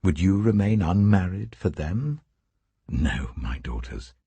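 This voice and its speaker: An older, weary British widow, acted out by a male audiobook narrator, grave and pleading. The voice is low and very husky, tender yet bitter, swinging widely in pitch. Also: sing-song, takes it slowly, warm tone, no room sound, heavily acted.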